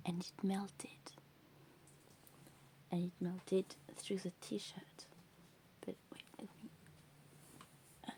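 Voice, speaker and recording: A woman with English as a second language, whispering softly in a rambling ASMR show-and-tell full of fillers. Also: medium pitch. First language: not English. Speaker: ASMR creator.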